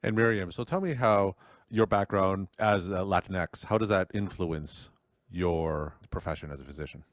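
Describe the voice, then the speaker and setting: Low voiced guy hosting an interview podcast, really dry close recording. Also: normal speed, dry recording. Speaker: podcast host. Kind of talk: scripted intro and interview questions.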